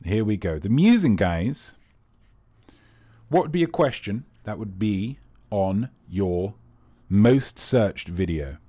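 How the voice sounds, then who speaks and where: A man's voice: on the low side, lively intonation, slow pace, dark tone, no echo. A man hosting a live comedy quiz, rambling and playful with teasing asides to the players. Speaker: quiz host.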